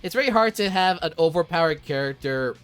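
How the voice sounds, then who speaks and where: A man's voice: a little high, animated, brisk, fairly bright tone, soft background music. A young man co-hosting a casual ranking chat, often stumbling and repeating himself as he starts a thought. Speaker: co-host of a character ranking discussion.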